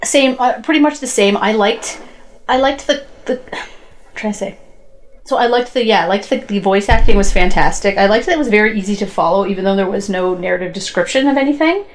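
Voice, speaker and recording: A woman's voice: mid-range voice, a little fast. A woman co-hosting a podcast, chatting informally about her impressions, often rephrasing herself and peppering in "like". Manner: informal, frequent "like" and self-corrections. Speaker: podcast co-host reviewing post-apocalyptic media. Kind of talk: casual review chat.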